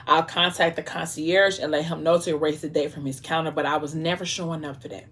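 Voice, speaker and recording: Devoted husband, played by a woman storyteller: low, hushed, earnest, calm and firm, romance drama. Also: normal speed, lightly acted. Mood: earnest, reassuring.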